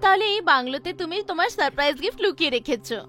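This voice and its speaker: A woman's voice: sits high, animated, racing, pushed and bright, soft background music. A woman playing a young wife in a dramatized horror story. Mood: delighted.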